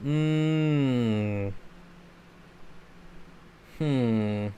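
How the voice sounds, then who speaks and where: A man's voice: mid-range voice, very slow, mellow, slight background noise. A man hosting a casual livestream, answering viewer comments in a laid-back, rambling way and chuckling often. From Michigan. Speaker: channel host.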